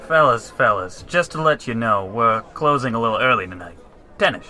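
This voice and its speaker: A man playing a put-upon, hesitant bartender in an old-style radio comedy, with weary, halting interjections. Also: medium pitch, lively intonation, bright, forceful tone. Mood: hesitant, pleading. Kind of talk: 1940s radio acting.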